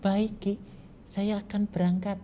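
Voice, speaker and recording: A man's voice: sits high, flat delivery, measured pace, mellow, muffled recording, slight background noise, pitched above the performer's own voice. A man voicing a poor young shepherd who speaks in raised, eager exclamations.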